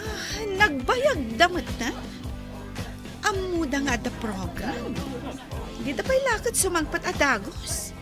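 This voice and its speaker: A woman plays an expressive village woman in a radio comedy drama. Her voice is high and swings widely in pitch, with music playing underneath. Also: slow, pauses often, exaggerated voice. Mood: curious.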